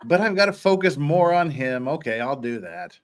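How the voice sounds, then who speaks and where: A man with a fairly high voice reads courtroom dialogue as host of a panel. His pitch moves around a great deal, giving the reading a theatrical, animated feel with casual commentary mixed in. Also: moderate pace.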